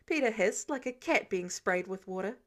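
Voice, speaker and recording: A woman narrating fan fiction in an expressive storytelling style. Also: mid-range voice, normal speed.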